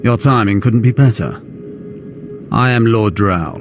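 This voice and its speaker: Low, cruel Sith lord. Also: male voice, measured pace, over music. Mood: smug. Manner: smug, menacing, formal. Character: cold, sadistic Sith lord relishing cruelty. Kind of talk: video game cutscene dialogue.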